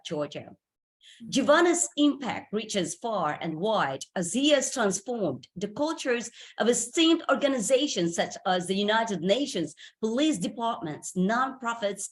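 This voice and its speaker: A woman hosting a club meeting reads a formal speaker introduction over a video call. She speaks in a polished, ceremonial way and stresses her key phrases. Her voice sits at a middle pitch.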